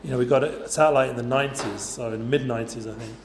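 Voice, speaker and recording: A man's voice: mid-range voice, steady tone, rapid-fire. A man, talking casually to a youth group with lots of fillers and asides. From North London, England. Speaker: guest speaker for students. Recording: roomy echoey room.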